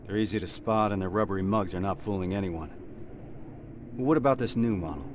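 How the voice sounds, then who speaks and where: An actor playing a stern, dutiful resistance squad sergeant with a commanding military manner in a video game. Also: male voice, low-pitched, fairly quick, background noise, close to an everyday voice. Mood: grave, probing. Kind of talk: dramatic cutscene acting. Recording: game music behind the voice.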